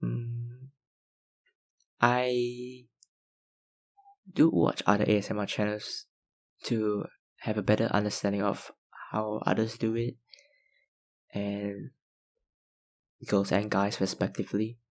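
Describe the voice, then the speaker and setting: Young male ASMR creator, low-pitched, unhurried, filler-heavy fan Q&A. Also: level tone, low-quality recording. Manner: hesitant, lots of ums and likes, soft laughs. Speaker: ASMR YouTuber answering viewer questions. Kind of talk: casual Q&A chat.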